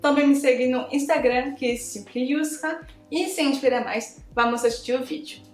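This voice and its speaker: A woman's voice: high-pitched, fairly even intonation, moderate pace. A French woman with a French accent, a native French speaker, hesitantly introducing her reaction vlog with small laughs and stumbles. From France. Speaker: YouTuber. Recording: roomy echo with soft background music.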